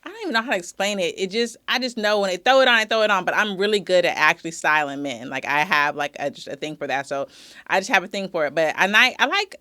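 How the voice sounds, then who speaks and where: A woman's voice: lowish voice, animated, fast, bright, forceful tone, no room sound, clean studio sound. A woman in casual, playful conversation, teasing and stretching out words. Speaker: guest. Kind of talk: casual chat.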